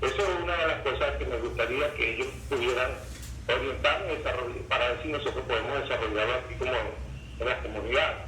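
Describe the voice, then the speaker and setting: A man's voice: medium pitch, quick pace, bright, forceful tone. A man calling a radio show by phone, speaking spontaneously and earnestly, sounding distant from the receiver. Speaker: radio call-in listener. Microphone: phone, held away. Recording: distant phone call, echoey, faint noise.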